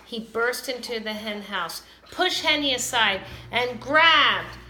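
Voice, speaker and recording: A woman reads a children's story with animated expression. Her voice is bright and takes its time. It sounds like an echoey room with faint noise. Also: on the high side, slow. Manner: animated, dramatizes characters' lines. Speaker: storybook reader. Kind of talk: expressive children's read-aloud. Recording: roomy, echoing, some background noise.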